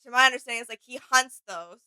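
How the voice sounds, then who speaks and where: Female co-host, slightly high and bright, relaxed conversational delivery, tentative and musing. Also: fast, clear, resonant tone, no echo. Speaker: reaction channel co-host. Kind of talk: casual chat, discussing game character lore.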